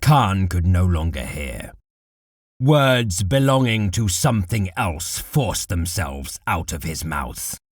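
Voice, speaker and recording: A man narrating dark war fiction as a scripted audiobook, in a deliberate, dramatic manner. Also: low voice, very animated, no room sound, studio quality.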